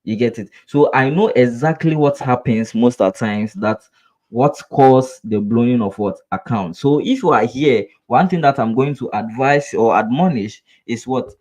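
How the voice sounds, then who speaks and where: A man's voice: medium pitch, normal speed, darkish tone. A man from Ghana with a Ghanaian English accent, teaching trading in a live webinar, repeating himself and asking rhetorical "what?" questions. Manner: earnest, coaching address to viewers. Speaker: trading instructor.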